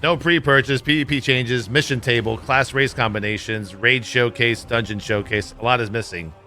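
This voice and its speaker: Bright, forceful man commenting on a livestream. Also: mid-range voice, fairly even intonation, normal speed, soft background music. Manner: blunt, swears freely. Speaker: livestream host. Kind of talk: casual livestream commentary on gaming news.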